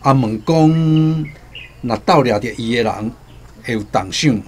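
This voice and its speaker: A man's voice: medium pitch, unhurried, a little hiss or hum, lightly acted. A Taiwanese-accented actor playing a wary village headman in a radio drama, talking in a probing, conversational way. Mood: secretive, probing. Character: wary male village headman hunting a wanted killer.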